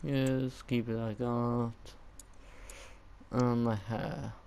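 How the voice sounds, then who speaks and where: A man's voice: medium pitch, drawn-out words, creaky, slight background noise. A Welsh man casually commenting on his own video game play as a gaming channel host. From Wales. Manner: loud energetic greeting, then quiet murmuring while customizing. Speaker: gaming YouTuber.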